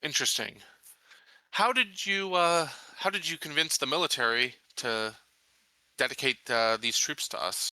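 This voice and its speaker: A man acts out an inquisitive corporate official in a science fiction tabletop game. The voice is extremely bright and clean, with a dry sound and middle pitch. He is formal, skeptical and deliberate as he probes. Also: no room sound. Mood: probing, reserved. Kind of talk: improvised tabletop roleplay.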